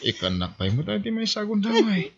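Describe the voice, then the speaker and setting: Man playing a high-pitched, defiant drunkard husband. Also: huge pitch swings, brisk, vocal fry, slightly rough, full character voice. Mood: slurred, promising. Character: defiant, whiny hard-drinking husband and son. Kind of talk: Ilocano radio drama acting. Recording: muffled recording with music behind. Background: music.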